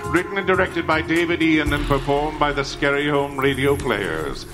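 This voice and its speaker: A man's voice: a little high, normal speed. A man reading a scripted credits announcement as the announcer of an audio drama. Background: music.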